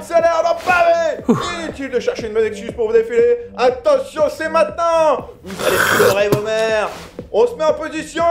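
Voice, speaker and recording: Shrieky workout coach yelling commands, super high, buried in heavy hiss. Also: male voice, roomy sound. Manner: barking drill-sergeant orders. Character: shouting male fitness coach, bossy and gleeful.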